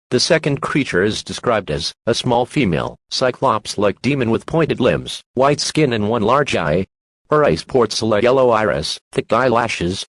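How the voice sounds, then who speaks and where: A male announcer delivers a scripted emergency alert in a formal, impersonal, synthetic voice. The sound is very dry, with a mid-range pitch and no sense of a room around it. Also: dry recording, poor audio.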